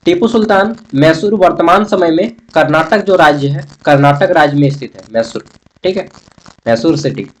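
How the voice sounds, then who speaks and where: A man's voice: on the high side, normal speed, low-quality recording. A man giving an instructional exam-prep lecture, explaining point by point with frequent check-in tags. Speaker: online teacher.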